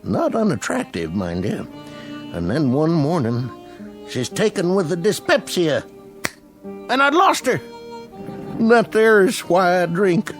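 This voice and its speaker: High-pitched maudlin frontier drunkard. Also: male voice, sing-song, soft background music, full character voice. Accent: American. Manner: folksy, drawn-out, melodramatic. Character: excitable, maudlin, hard-drinking small-town widower of the Old West. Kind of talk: radio drama acting.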